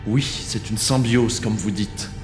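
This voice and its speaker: A man's voice: on the low side, steady tone, slow. A man voicing a stern, anxious city leader who talks in an urgent, commanding way. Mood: indignant. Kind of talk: amateur audio drama acting. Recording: echoey hall, under music.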